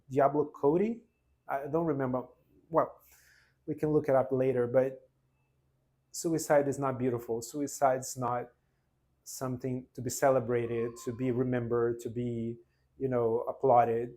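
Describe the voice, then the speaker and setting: A man in his forties from Brazil with a Brazilian Portuguese accent, whose first language is Portuguese, telling his own story unscripted in an earnest, halting way with many restarts. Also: mid-range voice, mellow. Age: 40s. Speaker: vlogger. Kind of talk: spontaneous personal testimony.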